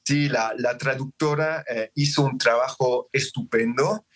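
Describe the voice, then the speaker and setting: Male author guest, French accent, measured, explanatory, middle pitch. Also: a bit bright. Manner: explanatory, measured, with self-corrections. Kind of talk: interview over a remote link. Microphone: remote link.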